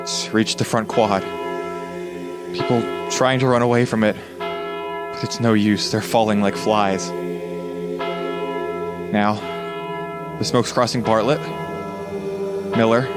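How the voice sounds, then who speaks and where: Slow, grave radio announcer played by a man. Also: fairly low pitch, steady tone, over loud music. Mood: doomed dread. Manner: slow, halting eyewitness commentary. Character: grave male radio news announcer witnessing an invasion. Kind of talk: 1930s radio drama acting.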